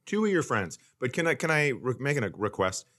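A man's voice: medium pitch, big pitch swings, brisk, a bit bright. A man co-hosting a comedy podcast in casual chat, with a dry, teasing way of talking. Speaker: podcast co-host.

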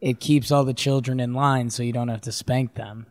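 A man's voice: mid-range voice, fairly even intonation, moderate pace, a little mellow, no room sound, studio quality. A man co-hosting a sports podcast with casual, joking, slangy banter.

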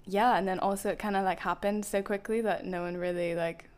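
A young woman from Northern Ireland with a soft Northern Irish accent, chatting casually as a podcast guest, giggling and filling pauses with "um". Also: medium pitch, fairly even intonation. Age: young adult. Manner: giggly, lots of fillers. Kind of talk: casual chat.

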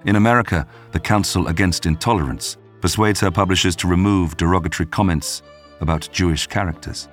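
A man narrating a scripted documentary podcast in a measured, polished storytelling manner. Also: sits low, faint music behind.

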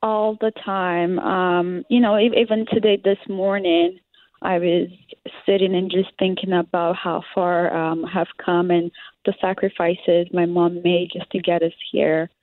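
A woman's voice: on the low side, little pitch movement, unhurried, no room sound. A young woman from Liberia with a Liberian English accent, answering interview questions hesitantly with many fillers and laughs. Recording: dry, phone-like sound.

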